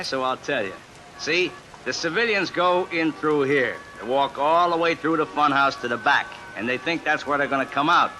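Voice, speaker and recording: A man's voice: mid-range voice, bright, forceful tone, slight background noise, natural voice, poor audio. A man playing a talkative, jokey fun house ticket seller with folksy, casual delivery in old radio suspense style. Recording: vintage radio, faint music and rain. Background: faint music and rain.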